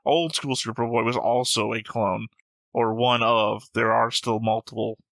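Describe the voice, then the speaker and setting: A man's voice: medium pitch, fairly even intonation, measured pace, creaky, fairly bright tone, dry recording. A man hosting a reaction show, talking casually and argumentatively with frequent swearing. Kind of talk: casual discussion, reacting to an animated series.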